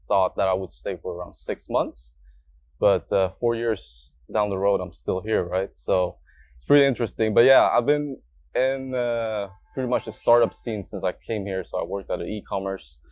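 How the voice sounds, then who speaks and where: A man's voice: lowish voice, a little mellow, no echo. A man from Sweden speaking as a guest in a casual interview in a coworking space, easygoing and full of fillers. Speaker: guest, startup co-founder.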